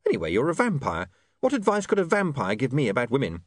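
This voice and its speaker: A man's voice: medium pitch, wildly swooping pitch, fast talker, no echo, clean studio sound, voice pushed up. A man voicing an awkward, defensive, well-meaning young newspaperman in an audiobook. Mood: embarrassed. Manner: flustered, stammering, self-justifying. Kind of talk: audiobook character voice.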